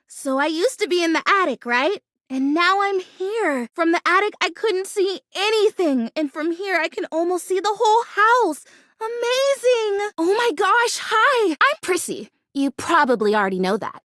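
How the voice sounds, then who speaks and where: High, bubbly, scatterbrained houseplant girl. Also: animated, normal speed, fairly bright tone, dry recording, clean studio sound. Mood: excited, friendly. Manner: gushing, chatty, easily distracted, exclamatory. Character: bubbly, scatterbrained young female plastic houseplant longing to be real. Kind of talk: animated video game character acting.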